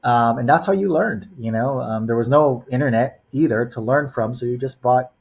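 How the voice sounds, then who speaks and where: A man in his forties tells long stories as a podcast guest. He talks in a loose, wandering way, full of "you know" and "um", at an ordinary mid pitch. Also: normal speed, gravelly, husky. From born in the Philippines, raised in Los Angeles. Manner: rambling storyteller, heavy on "you know" and "um". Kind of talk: casual podcast interview.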